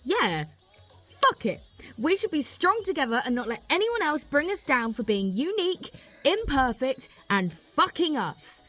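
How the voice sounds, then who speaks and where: A woman's voice: high-pitched, very animated, measured pace, sharp tone, soft background music, heavily acted. A woman playing a frank, giggly young woman confiding in friends in a naturalistic radio play. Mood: frank, playful.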